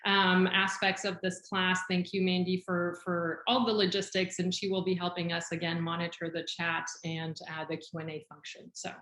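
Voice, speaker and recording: A woman's voice: lowish voice, flat delivery, normal speed, few pauses, a bit bright, echoey room, low-quality recording. A middle-aged woman hosting a webinar over a video call, friendly and chatty with frequent fillers. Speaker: skincare company head hosting a webinar. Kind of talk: webinar presentation. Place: video call from home or office. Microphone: video call microphone.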